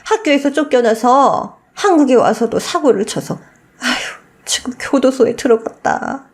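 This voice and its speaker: A woman voicing a shameless, scornful old mother-in-law who wheedles and pleads with emphatic, drawn-out words in a radio story. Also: sits high, pitched above the performer's own voice. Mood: desperate pleading. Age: older.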